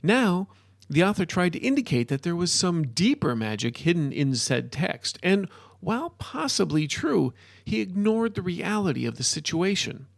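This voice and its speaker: A man playing an excitable modern-day wizard in a storybook, ranting and lecturing in an emphatic, informal way. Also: high voice, moderate pace, gravelly, dry recording, studio quality, voice pushed up, heavily acted. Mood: indignant ranting. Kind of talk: storybook character dialogue.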